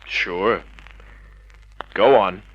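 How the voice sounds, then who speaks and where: Tough private eye, sardonic, bright hard-edged voice, old radio noir, slightly muffled recording. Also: male voice, sits low, extremely slow, breathy edge, exaggerated voice. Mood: dry, businesslike. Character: tough, wisecracking male private detective. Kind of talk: mid-century radio drama acting with noir narration.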